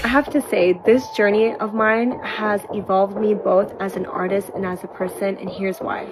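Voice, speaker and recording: A woman's voice: mid-range voice, fairly even intonation, normal speed, rough recording. Earnest woman reflecting over background music. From Canada. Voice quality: earnest, heartfelt, motivational. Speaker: performer recapping her tour. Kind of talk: reflective personal vlog. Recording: music playing underneath.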